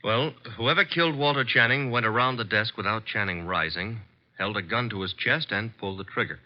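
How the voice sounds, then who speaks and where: A male actor plays a brisk, wisecracking private-eye assistant in an old radio mystery. His voice is on the low side and he reports facts in a wry, no-nonsense way. The sound is dull with age. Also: natural voice. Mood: businesslike, tough. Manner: brisk, wry, matter-of-fact. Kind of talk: 1940s-50s radio mystery acting. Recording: dull vintage radio recording.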